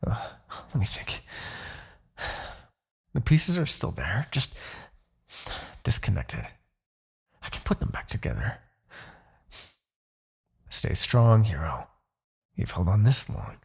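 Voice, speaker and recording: A man voicing an arrogant, brooding demon king in a fantasy ASMR roleplay, condescending and theatrical, with a husky, gravelly low voice and pauses. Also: wildly swooping pitch, full character voice. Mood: focused, coaxing. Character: arrogant demon king, gloating yet begrudgingly attached captor.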